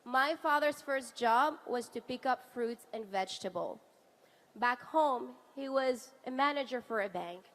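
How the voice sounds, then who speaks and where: High-voiced woman giving a rousing rally speech. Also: expressive, a little slow. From Venezuela, raised in Canada. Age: 30s. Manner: rousing, rhetorical, builds to shouts on slogans. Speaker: rally speaker, wife of a party leader.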